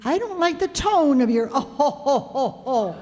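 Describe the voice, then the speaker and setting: High-pitched comic housewife played by a woman, echoey hall. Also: normal speed. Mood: jealous, sardonic. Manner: exaggerated, expressive comic delivery. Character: jealous, wisecracking 1940s housewife. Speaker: amateur radio player. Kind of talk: old-time radio sitcom acting. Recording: echoey hall stage performance.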